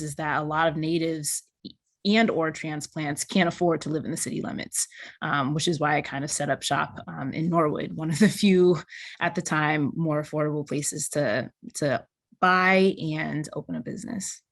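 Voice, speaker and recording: A woman's voice: deep voice, steady tone, normal speed, no echo, studio quality. A young woman from Boston, a business founder speaking on a panel over a video call, easygoing and casual. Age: young adult. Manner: easygoing, laughs lightly between points.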